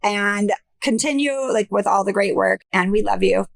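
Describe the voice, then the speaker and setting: A middle-aged woman hosting a podcast, with a high voice, talking candidly and chattily and swearing freely. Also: normal speed, creaky. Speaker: podcast host. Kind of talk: casual chat.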